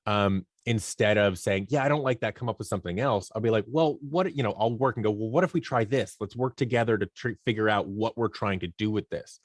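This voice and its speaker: A man's voice: lowish voice, a little fast, hardly any pauses, dry recording, clean studio sound. A man giving conversational interview answers as a guest producer, informal and often voicing imagined dialogue as he explains. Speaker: guest, audio drama producer and company owner.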